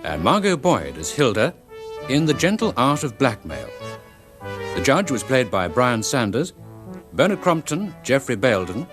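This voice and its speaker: A man's voice: medium pitch, sing-song, a little slow. A man reading scripted closing credits as a radio announcer. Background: music.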